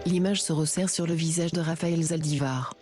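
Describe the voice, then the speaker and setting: A woman reading a scripted audio description in a steady, even way. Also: low-pitched, moderate pace, loud music behind the voice. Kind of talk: scripted narration.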